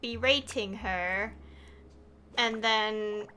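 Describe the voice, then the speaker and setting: A woman's voice: medium pitch, very slow, pushed and bright, slight background noise. A woman streaming a video game, giving casual commentary in a rambling, hesitant way.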